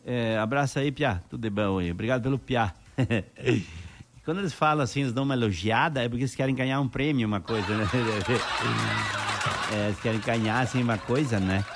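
A man's voice: mid-range voice, a little fast, a bit bright, soft background music. A man hosting a casual radio show, greeting listeners and telling jokes with frequent laughter. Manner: jokey, chatty, laughs often. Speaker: radio host. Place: radio studio.

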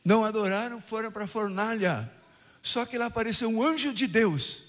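An older Brazilian priest from southern Brazil, reading the gospel and preaching a homily at mass, with emphatic rising phrases and questions put to the congregation. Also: male voice, high voice, lively intonation, a little fast. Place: church. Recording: radio broadcast of a mass in a reverberant church.

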